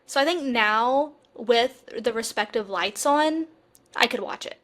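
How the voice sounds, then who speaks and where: Chatty higher-pitched woman podcast co-host. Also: measured pace. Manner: chatty, animated fan talk, frequent "like". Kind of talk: casual chat about TV shows.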